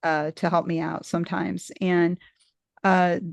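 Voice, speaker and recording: A woman's voice: lowish voice, little pitch movement, measured pace, creaky, no room sound, studio quality. A middle-aged woman hosting an interview, talking in a thoughtful, rambling way with frequent restarts. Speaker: podcast host interviewing a guest. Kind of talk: casual conversational interview.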